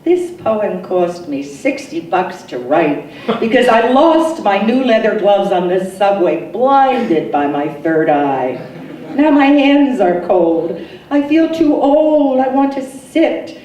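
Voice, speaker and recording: Slow, animated woman poet in echoey room. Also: medium pitch. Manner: deliberate, dramatic phrasing with pauses. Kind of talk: poetry reading, recited expressively. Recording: roomy echo, distant mic, faint noise.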